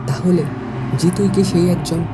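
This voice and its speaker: A woman's voice: on the low side, fairly even intonation, a little slow, very noisy. A woman reading a scripted first-person horror story as its narrator. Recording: music behind the voice. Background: music.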